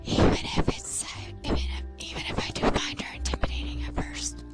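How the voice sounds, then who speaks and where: A woman guesting on a let's play, reading game text aloud in a casual, halting way, with some hushed phrases. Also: whispered. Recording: music playing behind the voice. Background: music.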